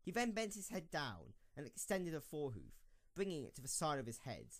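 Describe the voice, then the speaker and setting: A man's voice: fairly high pitch, wildly swooping pitch, fairly quick. A man narrating a scripted dramatic story as the narrator of an audio drama.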